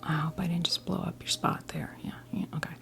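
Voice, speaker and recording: An American woman in her fifties whispers in a soothing, halting, conversational way as she role-plays a tarot reading for ASMR. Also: fairly low pitch, little pitch movement. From New Jersey, United States. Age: 50s. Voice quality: breathy whisper. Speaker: ASMR creator role-playing a witch tarot reader. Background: soft background music.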